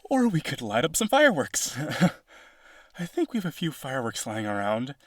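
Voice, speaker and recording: A man's voice: medium pitch, wildly swooping pitch, normal speed, no echo. Man playing a genteel, insistent vampire aristocrat, close-miked. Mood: coaxing, boastful. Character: lonely, boastful rich vampire brother, possessive yandere suitor. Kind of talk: roleplay audio drama.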